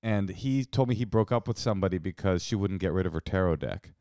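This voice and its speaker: A man's voice: low-pitched, quick pace, clear, resonant tone, dry recording, studio quality. A man co-hosting a podcast, chatting casually and jokingly, often acting out what other people said. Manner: jokey, animated, acts out quoted voices. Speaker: podcast co-host. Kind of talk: casual banter.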